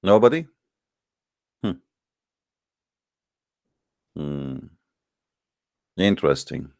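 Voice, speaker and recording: A man's voice: very deep voice, lively intonation, extremely slow, creaky, a little mellow, no echo, clean studio sound. A man with a non-native English accent hosting an online religious call-in show, speaking informally in a challenging, confrontational manner. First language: not English. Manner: challenging, confrontational, with rhetorical questions. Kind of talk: informal polemical monologue to live online audience.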